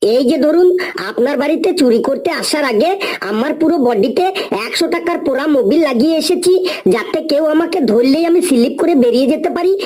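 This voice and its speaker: A woman voicing a shameless, argumentative male burglar in a comic cartoon, talking in a glib and pleading way. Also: high voice. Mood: cheeky, pleading.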